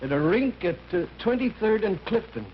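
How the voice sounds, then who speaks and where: A man's voice: sits high, very animated, moderate pace. A man playing a meek, anxious father, fretful and pleading, in an old-time radio drama. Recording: noisy, muffled vintage radio recording.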